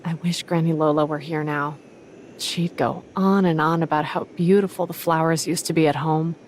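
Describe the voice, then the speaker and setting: A woman's voice: deep voice, steady tone, normal speed, darkish tone, a little hiss or hum, lightly acted. A woman voicing a composed, wry young pirate in an anime game, with a dry manner. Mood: earnest.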